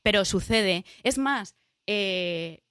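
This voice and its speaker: A woman's voice: medium pitch, lively intonation, very slow, a bit bright, clear, resonant tone, no room sound, studio quality. A middle-aged woman from Spain with a Spanish accent, chatting as a podcast guest, talking in a loose way full of restarts and fillers. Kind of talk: casual interview chat.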